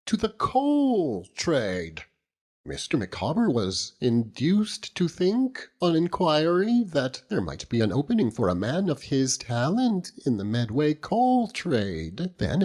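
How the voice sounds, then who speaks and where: A man performs an earnest, genteel wife and mother in a storybook reading. Her voice sits high and swings dramatically up and down, with a slightly husky edge. She argues her points with pompous, emphatic self-importance. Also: a little mellow, heavily acted. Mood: earnest, devoted. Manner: pompous, declamatory, emphatic lecturing. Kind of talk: audiobook character voice.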